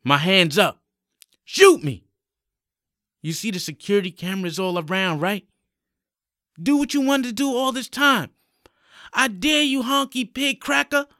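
A man's voice: high-pitched, measured pace, pauses often, sharp tone, dry recording, studio quality, voice pushed up. A man voicing a desperate, indignant young man in a dramatic story, pleading and defiant. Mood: defiant, daring. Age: young adult. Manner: emphatic, exclaiming, pleading.

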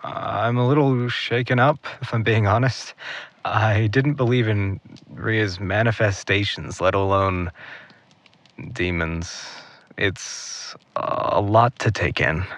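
A guarded young man as a male actor plays him in an audio drama, stubborn and practical. His voice is low and unhurried, with an understated, hesitant delivery. The recording is very dry. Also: a bit bright, no echo. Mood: shaken, uneasy. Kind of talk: naturalistic audio drama acting.

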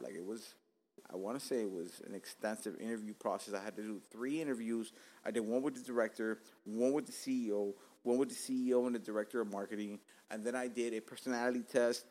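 A man's voice: medium pitch, fast, darkish tone, low-quality recording. Male podcast host, 30s, born in Cuba; loose, profane, joking delivery. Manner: profane, joking, laid-back street talk. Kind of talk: casual podcast chat.

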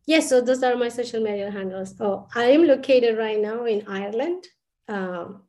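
A woman's voice: fairly high pitch, normal speed, dark tone. A woman from Colombia whose first language is Spanish, talking as a podcast guest in a thoughtful way, with many restarts and fillers. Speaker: podcast guest, international educator. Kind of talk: casual interview talk.

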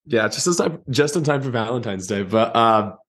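A man's voice: mid-range voice, expressive, normal speed, a bit bright. Young guy podcast co-host, easygoing and jokey, self-deprecating, chats loosely. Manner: laid-back, laughing, self-deprecating. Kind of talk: casual chat.

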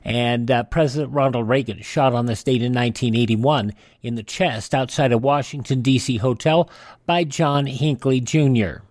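A man's voice: mid-range voice, moderate pace, a little mellow, slight background noise. A man hosting a radio show, informally reading out a rundown of dates and names. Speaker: radio host. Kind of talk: informal radio rundown of on-this-day history and birthdays.

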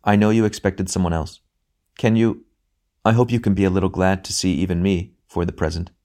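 A man voicing a bright spirit, a brother who speaks gently and patiently in a calm, measured way. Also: deep voice, lightly acted. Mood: gentle, patient.

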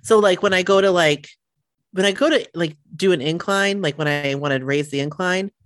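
Lowish-voiced woman chatting on a podcast, fillers everywhere, sniffly, dry sound. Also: normal speed, no echo. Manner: relaxed storytelling with many fillers. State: sniffly from cold. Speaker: podcast co-host. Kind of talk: casual chat.

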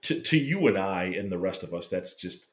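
Deep-voiced man telling a story, roomy sound. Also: normal speed. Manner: animated, swearing, acts out quotes. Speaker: podcast guest telling a fan story. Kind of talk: casual storytelling chat. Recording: roomy echo.

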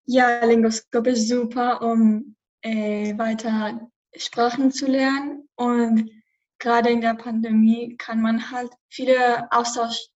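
A woman's voice: fairly high pitch, little pitch movement, slow, a little mellow, distant mic, highs cut off. Hesitant teen girl with Spanish accent. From Spain. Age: teens. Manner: hesitant, many fillers. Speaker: student podcaster. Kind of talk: casual chat.

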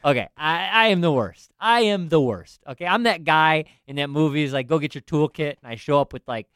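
A man's voice: a little high, animated, a little fast, fairly bright tone, clear, resonant tone, no echo, studio quality. A man in finance telling a self-deprecating story in casual chat, with lots of restarts and asides. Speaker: finance professional.

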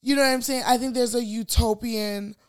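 A woman's voice: a little high, fairly even intonation, a little fast. Blunt young woman giving her opinion. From Africa. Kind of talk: casual chat.

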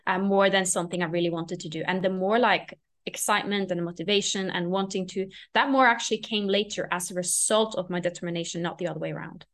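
A woman's voice: fairly low pitch, steady tone, fast, hardly any pauses, fairly bright tone. A young woman speaking as a guest on a podcast, explaining her views reflectively and often checking in with "right?". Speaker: podcast guest, recovery coach. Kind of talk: casual podcast interview.

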